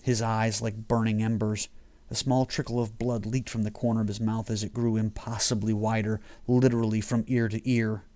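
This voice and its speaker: A man's voice: on the low side, level tone, moderate pace, warm tone. A man reading his own horror short story as scripted audiobook narration.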